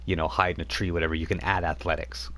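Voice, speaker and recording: A man's voice: extremely low pitch, little pitch movement, fast talker, sharp tone, slight background noise. A man acting as game master, casually explaining tabletop rules step by step.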